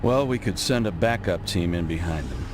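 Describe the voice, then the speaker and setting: A man's voice: sits low, big pitch swings, moderate pace, background noise. A man playing a dutiful military intelligence officer in a video game, briefing his superior in a formal, matter-of-fact manner. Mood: businesslike. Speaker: subordinate officer. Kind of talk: scripted game cutscene briefing. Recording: game music under the voice. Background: loud music.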